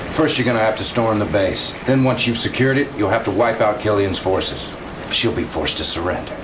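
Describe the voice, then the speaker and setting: An actor playing a blunt, informal soldier, a loyal underling, in a video game cutscene. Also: male voice, fairly low pitch, lightly acted. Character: gruff but wavering male soldier, loyal underling. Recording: clear ambient noise, vehicle sounds.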